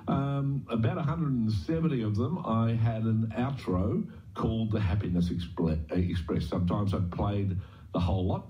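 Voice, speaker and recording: A man presenting on radio, introducing music casually in a hesitant, rambling, unscripted way. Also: lowish voice. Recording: dull lo-fi radio playback.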